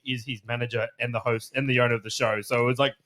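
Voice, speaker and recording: Teasing podcast co-host. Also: male voice, medium pitch, level tone, very fast, a bit bright. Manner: laughing, teasing banter. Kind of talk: casual sports podcast chat.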